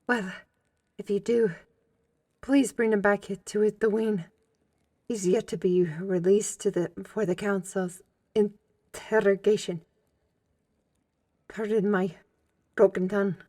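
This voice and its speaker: Casting: female actor as polite searcher for fantasy audio drama, unhurried, halting broken speech. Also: mid-range voice, steady tone, mellow. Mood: polite, searching. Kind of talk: fantasy audio roleplay acting.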